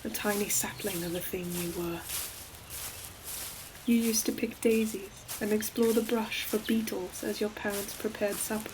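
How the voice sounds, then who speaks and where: A woman voicing an ancient, gentle forest demon in a scripted audio roleplay, speaking tenderly in archaic storybook phrasing. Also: medium pitch, fairly even intonation, moderate pace, natural voice. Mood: nostalgic, tender. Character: ancient gentle forest demon, hulking moss-covered creature. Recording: clear forest ambience noise behind voice. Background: forest nature sound effects with animals.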